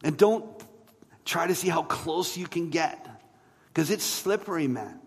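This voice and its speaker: A man preaching a sermon as a pastor, in a conversational way with rhetorical questions and emphatic pauses. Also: a little high, animated, breathy edge. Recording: long hall reverb.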